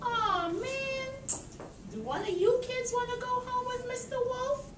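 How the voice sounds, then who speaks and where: A woman's voice: very high voice, a little slow. A woman voicing a sly, cheeky, hungry male wolf who sweet-talks people in a children's puppet show. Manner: wheedling, cheeky. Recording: distant echoey room with faint noise.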